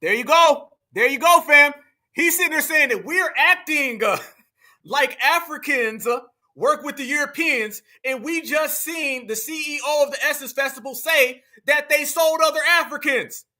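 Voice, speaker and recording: A man with a Southern American accent hosts a reaction commentary in a heated, mocking tone. His voice sits very high, with a sharp, tense brightness. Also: normal speed. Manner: heated, mocking, addresses viewers as "y'all" and "fam". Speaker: commentary host reacting to clips.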